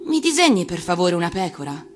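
A woman's voice: fairly low pitch, sing-song, a little fast, music in the background. A woman voicing a small, innocent little prince from another planet in a storybook reading, childlike, earnest and demanding. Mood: polite, insistent.

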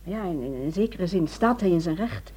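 An actress in a Dutch radio drama playing a worried, determined wife who speaks in an earnest, pleading way. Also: female voice, fairly low pitch, animated, normal speed, dark tone, breathy edge. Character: worried, determined wife seeking help for her secretive husband.

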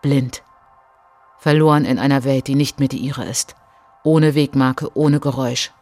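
A woman performing a solemn narrator in a literary radio drama, speaking in measured, dramatic short phrases. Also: very deep voice, steady tone, a little mellow, no room sound. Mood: mournful. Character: solemn woman narrator recounting colonial atrocities as a traveller. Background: prominent music behind the voice.

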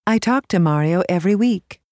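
A woman language instructor reading scripted prompts in a measured, clearly enunciated way. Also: fairly low pitch, lively intonation, a little mellow, dry recording, clean studio sound.

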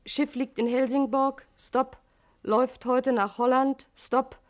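Casting: brisk-minded police secretary, fairly high voice, clipped, factual reading, 1950s radio drama. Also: female voice, fairly even intonation. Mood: businesslike. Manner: clipped, matter-of-fact. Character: efficient female police secretary reading out telegrams. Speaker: actress in a radio crime play. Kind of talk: 1950s German radio play acting.

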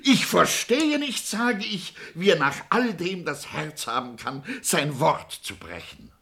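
A man's voice: fairly high pitch, big pitch swings, measured pace, sharp tone, full character voice. An actor playing an earnest, anxious servant of a noble lady in a classic comedy radio play, pleading and declamatory in his delivery. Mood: impassioned, incredulous.

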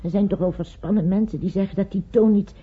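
An actress playing a weary older wife in a radio play, brooding, fearful and haunted by guilt. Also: female voice, medium pitch, fairly even intonation, quick pace, slightly rough. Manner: brooding, anxious. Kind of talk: radio play acting in Dutch. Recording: muffled old radio recording.

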